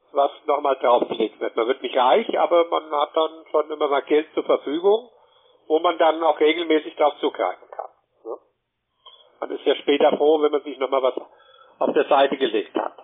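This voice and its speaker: A man giving a wordy telephone sales pitch, often adding a short checking question. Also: slightly rough. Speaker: telemarketer. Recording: muffled narrowband phone line.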